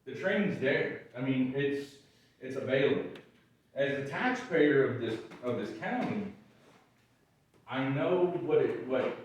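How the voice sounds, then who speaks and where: A man with a gravelly voice giving frustrated, emphatic public comment at a county board meeting. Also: mid-range voice, slight background noise. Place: large echoey meeting room. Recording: echoey room, voice far from mic.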